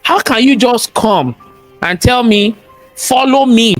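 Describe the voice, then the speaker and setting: A man with a strong Nigerian accent and a high voice speaks as an interview guest. He talks in a motivational, sermon-like way, repeating key phrases for emphasis and throwing out rhetorical questions. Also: slow pace, fairly bright tone, faint music behind. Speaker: guest, cybersecurity expert and entrepreneur. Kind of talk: interview conversation, motivational talk.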